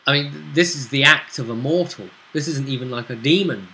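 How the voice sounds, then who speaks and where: A man's voice: medium pitch, brisk, bright, forceful tone, poor audio. A man acting as game master, casually recapping a tabletop role-play session and checking in with his player as he goes. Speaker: game master and host of the vidcast. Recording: faint background noise.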